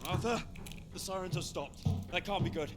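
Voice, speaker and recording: An actor playing a level-headed commando in a war game, earnest and matter-of-fact. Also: male voice, high voice, moderate pace, loud music behind the voice, lightly acted. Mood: wary. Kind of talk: cinematic war game acting.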